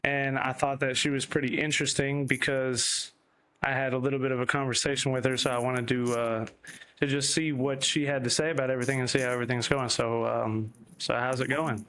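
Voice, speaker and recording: A man's voice: medium pitch, level tone, a little fast, fairly bright tone, slight background noise. A man hosting a casual interview, asking rambling questions full of ums and restarts. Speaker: host.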